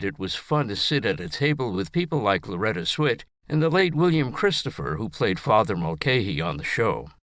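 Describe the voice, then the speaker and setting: A man's voice: mid-range voice, fairly bright tone, no room sound, clean studio sound. An older man reading his own personal story in a scripted narration style.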